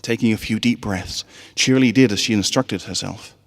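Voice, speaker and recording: A man narrating a scripted story in an expressive, dramatic way. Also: lowish voice, normal speed, gravelly, in a large hall. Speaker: fan fiction reader.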